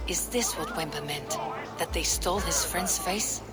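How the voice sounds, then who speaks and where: A woman's voice: on the low side, normal speed, loud music behind the voice, a loud crowd, natural voice. An actress playing a tough, blunt warrior woman who questions people in a direct, firm way for a video game. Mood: pondering. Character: tough, blunt female warrior investigating a death. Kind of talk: video game character acting.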